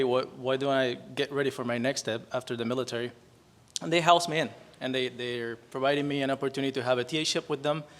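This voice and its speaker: A man's voice: medium pitch, fairly bright tone. A man in his forties from Puerto Rico with a Puerto Rican Spanish accent, a native Spanish speaker giving chatty opening remarks and often tagging his sentences with "right?". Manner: chatty, frequent "right?" tags, self-deprecating. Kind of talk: casual storytelling speech.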